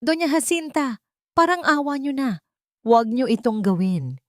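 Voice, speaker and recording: A woman's voice: high voice, big pitch swings, normal speed, no echo, studio quality, pitched above the performer's own voice. A woman with a Filipino accent voicing a polite, pleading young housemaid in an audio drama story. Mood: desperate pleading.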